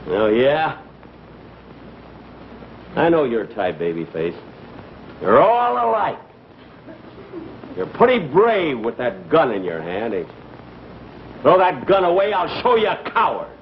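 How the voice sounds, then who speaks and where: An actor playing a blustering, cowardly cop who stammers and pleads in a 1950s comedy sketch. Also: male voice, a little high, big pitch swings, slow, long pauses. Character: blustering cowardly male cop facing a gunman. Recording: muffled old broadcast, faint hiss, audience.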